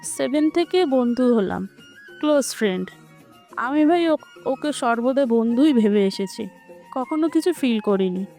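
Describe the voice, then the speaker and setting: A woman's voice: on the high side, expressive, a little slow, dark tone, soft background music, voice pushed up. A woman voicing a playful, chatty, bossy young woman who acts like a big sister in a romantic audio story. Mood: chatty, confiding.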